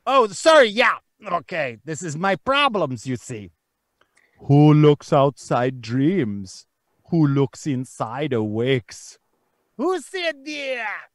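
Man putting on a high, swooping, muddled lady author. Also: big pitch swings, no echo, studio quality. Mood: quizzical, halting. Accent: muddled hybrid of German and American. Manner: halting, rambling, repeats himself. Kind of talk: improvised character comedy on a live stream.

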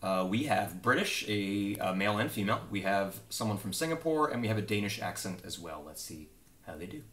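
Young male developer, low-ish voice, relaxed demo talk, filler-heavy, recorded on a desk camera mic in a small home office. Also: brisk. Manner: easygoing, explanatory, frequent "uh" fillers. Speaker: game developer. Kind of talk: casual demo walkthrough.

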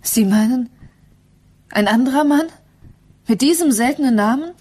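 Breathy, grieving mother. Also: high-pitched, animated, dark tone. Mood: puzzled. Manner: subdued, halting, often near whisper. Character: grieving, resigned mother who lost her child. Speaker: radio drama actress. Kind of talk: German radio crime play acting.

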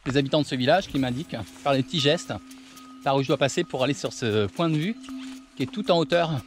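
A man's voice: on the high side, fast, faint music behind. A man in his forties talking upbeat to a camera held at arm's length while hiking a jungle mountain trail. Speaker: travel vlogger. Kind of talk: selfie-style travel vlog.

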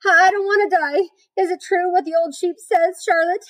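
A woman's voice: extremely high pitch, fairly even intonation, normal speed, pitched above the performer's own voice. A woman voicing a frightened, naive young male pig in a storybook, wailing and pleading hysterically. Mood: screaming terror.

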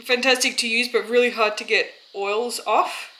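Bright-voiced Australian woman, chatty. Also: a little high, steady tone, moderate pace, poor audio. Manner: informal, chatty, thinking aloud while working. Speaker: vlogger and small soap-business owner. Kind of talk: casual how-to vlog, explaining while working. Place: small indoor home workshop. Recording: clear background noise, dish and pot clatter.

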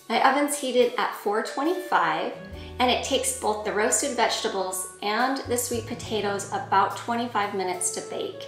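A woman in her forties calmly walking through cooking steps in a home kitchen, talking to a camera set a few steps back. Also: mid-range voice, roomy sound. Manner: calm, friendly step-by-step instructions. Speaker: cooking vlogger. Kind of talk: cooking tutorial voice-over and talk to camera.

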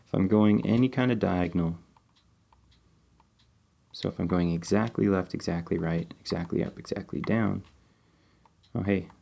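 A man's voice: low-pitched, creaky. A man talking through a game-development tutorial step by step while working on screen, still a bit sniffly from a cold. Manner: relaxed, step-by-step, thinking aloud with fillers. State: sniffly, getting over a cold. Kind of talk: screen-recorded coding tutorial.

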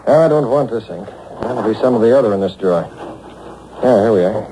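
An actor playing a brisk, decisive boss who is secretly a masked crime fighter in an old radio serial. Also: male voice, fairly low pitch, animated, close to an everyday voice. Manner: brisk, commanding. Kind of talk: 1940s radio drama acting. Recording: old noisy radio broadcast on tape.

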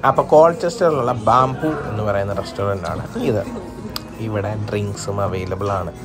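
A man's voice: lowish voice, brisk, over music. A man from Kerala with a Malayali accent, talking casually as a vlogger narrating a day out. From Kerala, India.

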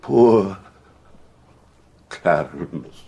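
An actor playing an anguished, wrathful English king in a Shakespearean audio drama, declaiming with heavy pauses and a husky voice. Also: low-pitched, expressive, warm tone, heavily acted. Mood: remorseful, sorrowing. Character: grief-stricken, wrathful, ailing English king.

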